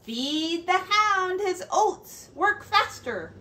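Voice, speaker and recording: A woman voicing a bossy male rooster who gives loud farm orders in a children's storybook. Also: very high-pitched, animated, drawn-out words, slight background noise, pitched above the performer's own voice, heavily acted. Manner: bossy, commanding.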